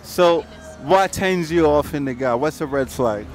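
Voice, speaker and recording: A man's voice: fairly high pitch, unhurried, faint music behind. A man doing street interviews at night, playful and repeating his questions. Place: busy city street at night.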